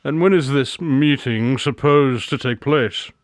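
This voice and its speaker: A man's voice: mid-range voice, measured pace, no echo, studio quality, lightly acted. A man acting a shrewd, unflappable private detective in a modern radio drama, with a wry, knowing, teasing manner. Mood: coolly sceptical.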